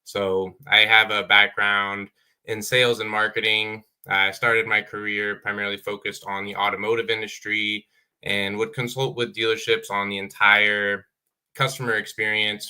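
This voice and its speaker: A man's voice: on the low side, little pitch movement, moderate pace, pushed and bright, smooth, rough recording. A man in his thirties from Ohio, a business owner telling his story earnestly with lots of "uh" fillers on a video call. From Dayton, Ohio. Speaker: apparel business owner guest. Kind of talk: casual panel chat.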